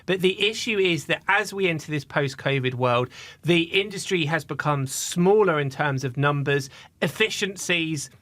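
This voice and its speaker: A man's voice: on the high side, moderate pace, a bit bright, dry recording. A man, an aviation journalist, giving thoughtful opinions on a podcast, often hedging with "I think". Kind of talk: casual opinion discussion.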